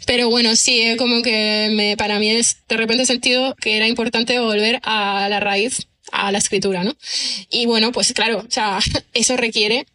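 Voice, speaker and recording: A woman's voice: a little high, steady tone, moderate pace, sharp tone. A woman answers casually as a podcast guest, chatty and self-deprecating, thinking aloud and often checking in with the listener. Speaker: podcast guest, writer and screenwriter. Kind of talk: casual conversational interview answers.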